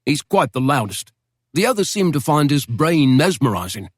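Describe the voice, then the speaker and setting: A man's voice: on the high side, sing-song, normal speed, slightly rough, no room sound. A man voicing a laconic American army major from Arkansas, speaking in short, dry lines. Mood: terse, dry. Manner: terse, dry.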